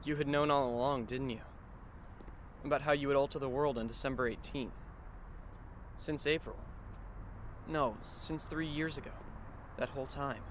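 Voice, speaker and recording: A man playing a wry, resigned high school boy in an anime fan dub, speaking in a dry, reflective way. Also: steady tone, moderate pace, pauses often, slight background noise, natural voice. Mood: serious, questioning. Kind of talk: anime fan dub dialogue and inner monologue.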